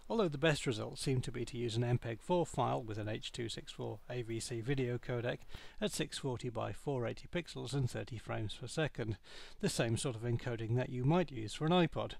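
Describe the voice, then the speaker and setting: A man reading a scripted product review as narration, in a measured, matter-of-fact way. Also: mid-range voice, vocal fry.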